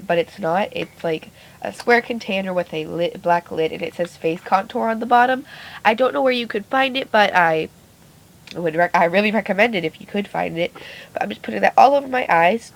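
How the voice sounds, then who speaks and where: Raspy-voiced woman chatting through a makeup tutorial. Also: mid-range voice, moderate pace, low-quality recording. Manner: chatty, rambling, self-correcting. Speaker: beauty vlogger. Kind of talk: casual makeup tutorial narration. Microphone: phone camera.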